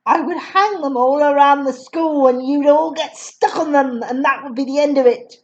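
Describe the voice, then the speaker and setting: A huge, contemptuous headmistress snarls insults at her pupils, as a woman reader puts her on. Her voice is forceful and scornful, pitched fairly high for a woman. The recording sounds dull and lo-fi. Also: normal speed, lightly acted. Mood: sneering. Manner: booming, sneering, spits insults. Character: huge bullying female headmistress, cruel, contemptuous.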